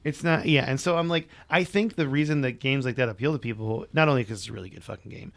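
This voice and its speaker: A man's voice: mid-range voice, fast talker, creaky, a bit bright, no room sound. A man co-hosting a podcast, chatting loosely and joking, cursing now and then, his voice a bit worn. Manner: loose and joking, sprinkled with swearing. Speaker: podcast co-host. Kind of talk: casual chat.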